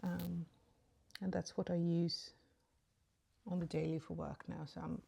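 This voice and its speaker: Lady rambling thru her makeup, lots of ums, lowish voice, sleepy morning vibe. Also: flat delivery, measured pace, gravelly, warm tone, breathy edge. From Sweden. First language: Swedish. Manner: rambling and chatty, many ums. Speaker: beauty vlogger. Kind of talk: casual makeup tutorial chat.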